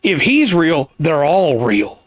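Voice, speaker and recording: A man hosting a casual podcast, explaining things at length with pauses and restarts, his voice getting worn. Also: a little high, huge pitch swings, no echo. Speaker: podcast host.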